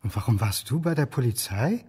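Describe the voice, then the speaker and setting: Mellow inquisitive history lecturer. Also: male voice, mid-range voice, lively intonation, a little fast, breathy edge. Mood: inquisitive, calm. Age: middle-aged. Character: mild middle-aged male history lecturer, quietly inquisitive. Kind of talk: naturalistic radio drama dialogue.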